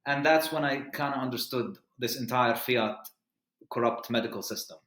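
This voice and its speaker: A man's voice: mid-range voice, normal speed, fairly bright tone. Earnest man speaking in an echoey room. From Middle East. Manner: earnest, explanatory, with frequent fillers and restarts. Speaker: physician guest. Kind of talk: podcast interview.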